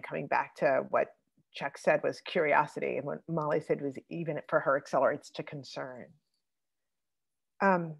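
A woman moderating an online group discussion over a video call, speaking thoughtfully with many pauses and fillers. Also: deep voice, creaky, muffled recording. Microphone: computer mic on a video call.

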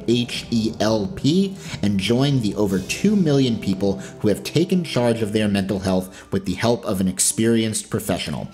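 A man with a slightly low voice reads a podcast sponsor message in a conversational style. Faint music sits underneath. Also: darkish tone. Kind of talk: conversational ad read.

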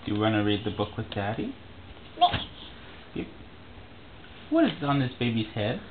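Man, playful encouraging baby talk, hugely varied pitch, phone-like sound with faint noise. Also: wildly swooping pitch, moderate pace. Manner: teasing, encouraging. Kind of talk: casual playful baby-directed chat. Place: carpeted room at home. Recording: noisy, tinny home-video audio.

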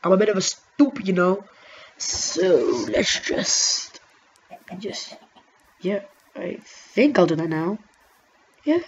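A woman giving rambling, excitable let's-play commentary over her gameplay, talking straight to her viewers. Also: mid-range voice, slow pace, pauses often, slight background noise. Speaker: gaming commentator. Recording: dull lo-fi mic with faint music.

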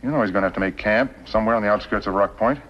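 Cold scheming american cowboy bad guy, lowish voice, slow deliberate questions, muffled 50s tv sound. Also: husky. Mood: cool scheming. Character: cool, calculating male villain plotting murder, smugly patient. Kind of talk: 1950s TV western acting. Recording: dull old 1950s television soundtrack.

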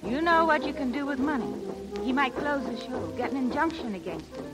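High-pitched, coaxing 1930s showgirl. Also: lively intonation, fairly quick, rough recording. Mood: urgent, scheming. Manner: urgent, coaxing. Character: Polly, pleading, scheming, pushy young showgirl. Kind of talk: 1930s radio acting. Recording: vintage radio broadcast with loud music behind.

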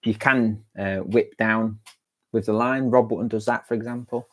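A young man with a fairly low voice hosts a live stream from a small indoor room. He talks loosely and chattily, full of fillers and restarts. He speaks into an earphone headset mic. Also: steady tone, moderate pace, fairly bright tone. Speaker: angling channel host. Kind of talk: live-stream chat reading viewer comments. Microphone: wired earphone headset mic.

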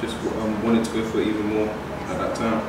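A man's voice: on the low side, level tone, a little fast. A young man chatting casually as he cooks, explaining each step. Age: young adult. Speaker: young footballer cooking. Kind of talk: casual cooking vlog chat. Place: large modern home kitchen. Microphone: far camera mic. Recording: echoey kitchen, distant mic, noisy.

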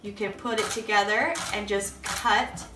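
A woman's voice: mid-range voice, fairly even intonation, a little slow, reverberant, music in the background. A young woman in her home kitchen, walking children through a soup recipe step by step in a friendly, instructing way. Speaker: cooking teacher. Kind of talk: kids' cooking lesson.

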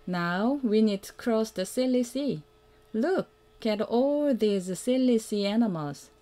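A woman reading a children's picture book aloud as a mother would, in a simple, deliberate way that invites the young listener to join in. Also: fairly high pitch, expressive, mellow. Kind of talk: read-aloud children's storytelling.

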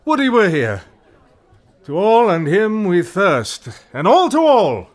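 A man's voice: high-pitched, huge pitch swings, slow, full character voice. Man acting a haunted, wildly swinging tragic king. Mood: forced cheer. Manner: theatrical, declamatory, swinging between outcry and brooding. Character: guilt-haunted, volatile Scottish king raving at a ghost. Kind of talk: classical Shakespearean radio drama acting.

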